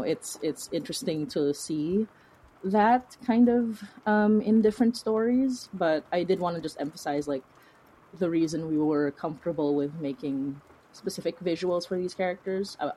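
A woman's voice: low-pitched, fairly even intonation, normal speed, warm tone, poor audio. A woman talking casually as an interview guest, informal and chatty, thinking aloud as she goes. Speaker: interview guest, fiction podcast creator.

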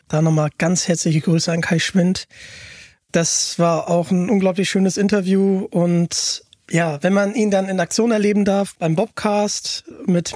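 High-pitched man hosting a podcast. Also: darkish tone, no echo, clean studio sound. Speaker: podcast host. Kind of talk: casual fan podcast chat.

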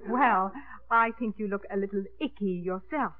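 A woman's voice: medium pitch, moderate pace, lightly acted. An actress playing a refined widowed mother, fluttery, polite and hesitant, in a 1950s radio drama. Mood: airy. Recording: noisy, narrow 1950s radio broadcast.

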